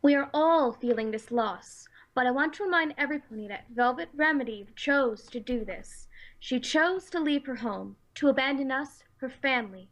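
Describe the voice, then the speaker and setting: A woman playing a stern, calm female pony leader who speaks in a measured, authoritative way in a dramatic story reading. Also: high voice, close to an everyday voice, low-quality recording. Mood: solemn, persuasive. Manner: measured, authoritative, slow and deliberate.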